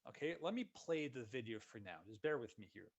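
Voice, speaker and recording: A man, a solutions consultant giving a relaxed, conversational walkthrough of software in a live webinar. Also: medium pitch, brisk, breathy edge, muffled recording. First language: Dutch. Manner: relaxed, conversational walkthrough narrating each click.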